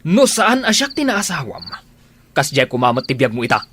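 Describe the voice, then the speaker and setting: A man's voice: medium pitch, huge pitch swings, fairly quick, background noise. A man acting a domineering, suspicious husband in a radio drama, contemptuous and boastful. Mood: contemptuous, angry.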